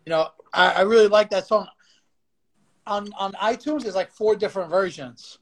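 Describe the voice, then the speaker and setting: A man with a notably high voice hosts a weekly podcast over a livestream. He keeps the talk relaxed and friendly as he leads the conversation with his guest. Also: normal speed. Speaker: podcast host. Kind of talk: casual chat.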